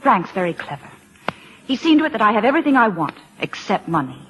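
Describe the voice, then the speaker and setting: An actress playing an urgent, scheming, sophisticated kept woman in an old-time radio drama, polished and insistently persuasive, with a husky voice. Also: medium pitch, sing-song, fast, dull audio, lightly acted. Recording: old radio recording with long reverberation.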